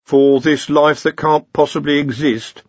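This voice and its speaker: A man's voice: a little high, steady tone, a little slow, no room sound, muffled recording, voice pushed up. A man voicing a bitter, wary, put-upon male wizard in an audiobook, sardonic and suspicious. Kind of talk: audiobook character voice.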